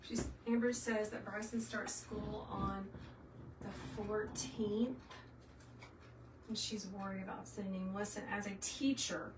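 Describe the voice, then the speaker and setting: A woman's voice: medium pitch, fairly even intonation, slow pace. A middle-aged woman with a Southern US accent, hosting a live craft tutorial and chatting casually and folksily with her viewers. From South Carolina, US. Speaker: craft tutorial livestream host. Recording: roomy, distant mic, faint noise.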